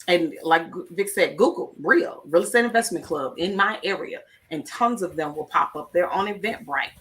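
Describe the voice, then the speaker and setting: A woman's voice: lowish voice, a bit bright, distant mic. Woman host talking real estate, emphatic, teachy, counting off tips. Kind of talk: motivational live-stream talk.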